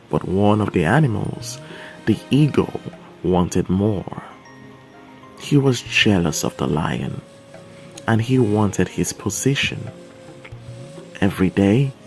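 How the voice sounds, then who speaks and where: A man reading a dramatized story as scripted narration, with deliberate, measured and expressive phrasing. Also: lowish voice.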